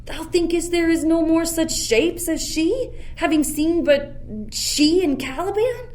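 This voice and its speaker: A woman's voice: very high voice, unhurried, darkish tone. A woman playing a commanding, scornful sorceress mother in a Shakespearean radio play, speaking imperiously and theatrically. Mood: angry, commanding. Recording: faint background noise.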